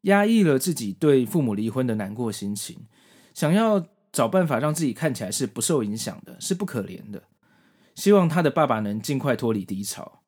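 A man's voice: mid-range voice, sing-song, a little fast, a little mellow, husky. Guy hosting a podcast, explaining a story from notes, really varied intonation. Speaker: podcast host. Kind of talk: scripted narration of manga story and commentary.

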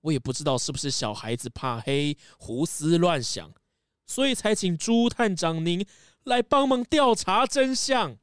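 Man playing a worried, gentle castle father. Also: fairly high pitch, animated, a little slow, clean tone, dry recording, clean studio sound. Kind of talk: children's audio mystery drama. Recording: music behind the voice.

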